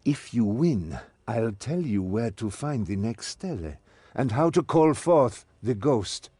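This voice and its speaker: Stern old game mentor, theatrical, slow pace, swoopy pitch, dry sound. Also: male voice, lively intonation, warm tone, husky, no room sound. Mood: testing, instructive. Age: older. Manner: theatrical, commanding. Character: stern old male mentor, servant of a master. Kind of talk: scripted game cutscene acting.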